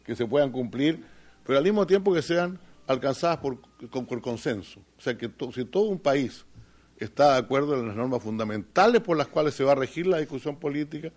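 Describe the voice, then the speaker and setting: A man speaking on a conference panel at a mid pitch. His delivery is deliberate and explanatory as he rounds off his point. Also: expressive, a little mellow. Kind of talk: formal panel talk.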